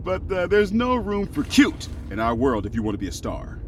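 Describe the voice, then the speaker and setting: Faint car ambience sits behind a pushy showbiz bigwig. His voice is fairly high and extremely animated, lurching through brash, patronising promises in an audio comedy. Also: moderate pace, a little hiss or hum. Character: pushy, condescending showbiz power broker with big promises. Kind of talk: comedic audio drama acting.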